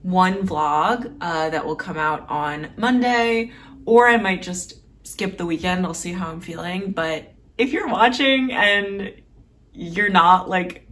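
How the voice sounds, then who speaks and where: A woman's voice: on the low side, takes it slowly, fairly bright tone, distant mic. A young adult woman talking in an informal, self-deprecating way, as in a casual personal vlog. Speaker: novelist vlogger. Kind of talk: casual vlog chat to camera. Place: small home room with desk.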